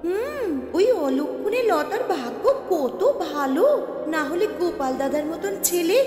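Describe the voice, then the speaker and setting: A woman's voice: very high-pitched, expressive, unhurried, over music. A woman voicing a spiteful, envious young village sister in a cartoon, catty and scornful. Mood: jealous, scornful. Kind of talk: cartoon story voice acting. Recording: very echoey reverb.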